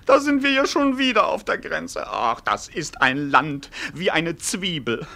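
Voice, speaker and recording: An actor playing a cynical, whining jester in a classic radio play, exclamatory and mock-philosophical, swinging from groans to grandiose outbursts. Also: male voice, on the high side, big pitch swings, a little slow, gravelly, bright, forceful tone, exaggerated voice. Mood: grumbling, mock-philosophical.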